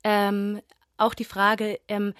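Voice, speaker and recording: A woman's voice: mid-range voice, monotone, slow pace, a bit bright, clear, resonant tone, no room sound, studio quality. A young woman theatre performer from Bavaria answering radio interview questions thoughtfully, with many fillers and emphatic stretches.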